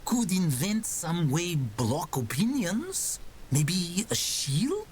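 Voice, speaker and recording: A woman's voice: lowish voice, very animated, slow, darkish tone, slight background noise. A synthetic woman's voice playing an earnest female inventor who speaks in clipped, broken English. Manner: clipped broken grammar, thoughtful and eager. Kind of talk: AI roleplay voice with narration.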